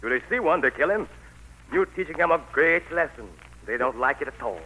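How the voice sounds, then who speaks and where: A sly, fawning royal magician in an old radio play. His voice is bright, husky and on the high side, with a folksy dialect and a deferential, nasty streak. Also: animated, brisk, heavily acted, low-quality recording. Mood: servile. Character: servile, sly, nasty court head magician. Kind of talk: 1940s radio acting. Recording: 1940s radio broadcast recording.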